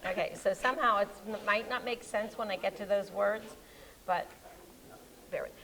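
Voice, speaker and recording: A woman's voice: medium pitch, steady tone, moderate pace, a bit bright, rough recording. Chatty, giggly American woman librarian. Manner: chatty, giggly, trails off mid-word. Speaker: co-host, children's librarian. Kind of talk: casual chat. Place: public library.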